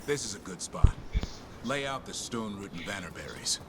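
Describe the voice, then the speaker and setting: Low-voiced, earnest griffin keeper. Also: male voice, lively intonation, moderate pace, very noisy. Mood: calm, instructive. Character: gentle, watchful male griffin keeper in fantasy adventure. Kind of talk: fantasy video game acting.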